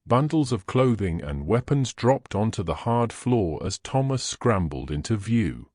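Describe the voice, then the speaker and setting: A man narrates a fictional tale in a fairly low voice. His delivery rises and falls freely, and the sound is dry and close. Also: big pitch swings, measured pace, a little mellow, no echo. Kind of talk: scripted audio fiction narration.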